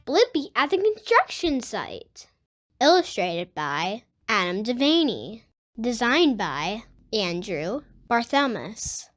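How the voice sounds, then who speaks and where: A child host reading a picture book aloud for young listeners, addressing them directly in an excited way. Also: medium pitch, slow, faint music behind. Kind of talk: storybook read-aloud with enthusiastic channel intro and sign-off.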